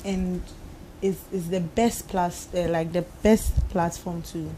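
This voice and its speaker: A woman's voice: on the low side, steady tone, slow, mellow, a little hiss or hum, low-quality recording. A woman who works as a mixologist, a talk-show guest, easygoing and chatty, often saying "like". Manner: easygoing, laughs, says "like" often. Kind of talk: casual interview talk. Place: TV studio.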